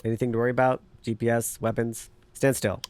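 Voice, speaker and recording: A man's voice: mid-range voice, level tone, fast, darkish tone, clear, resonant tone, no echo, natural voice. A man acting in an audio drama as a wary, blunt underground tech leader who talks in a terse, dry, probing way. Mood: brisk, probing.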